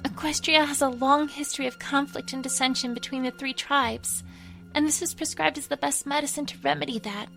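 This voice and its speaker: A woman voicing a gentle young pony princess in a fan audio drama, hesitant, apologetic and stammering. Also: high-pitched, steady tone, brisk, creaky, exaggerated voice. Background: music.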